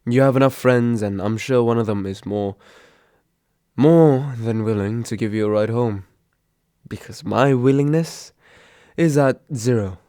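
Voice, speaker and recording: A man's voice: medium pitch, measured pace, warm tone, clean tone, dry recording, lightly acted. Man playing a grumbling, sarcastic young roommate, dry close sound. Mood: sleepy, irritated. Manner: grumbling, sighing, exasperated. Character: grumpy sarcastic young male roommate, secretly caring, woken from sleep. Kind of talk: intimate roleplay audio drama, one side of a phone call.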